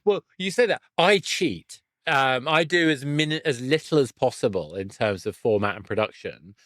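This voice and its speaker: A man's voice: medium pitch, lively intonation, moderate pace, fairly bright tone, no room sound, studio quality. A middle-aged man hosting a casual interview, self-deprecating and rephrasing as he thinks aloud. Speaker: podcast host and interviewer.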